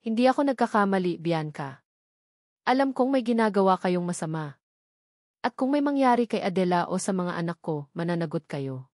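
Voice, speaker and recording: A woman's voice: lowish voice, animated, moderate pace, a little mellow, no echo, studio quality, lightly acted. A woman voicing an earnest, protective male doctor in a dramatic story, with an intense, pleading delivery. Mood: stern, accusing.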